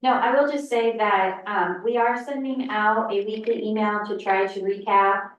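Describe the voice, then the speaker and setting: A woman's voice: medium pitch, steady tone, moderate pace, dull audio. A woman speaking in a school board meeting, informal and joking, sharing an update. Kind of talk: meeting remarks. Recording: very echoey room, distant mic, faint noise.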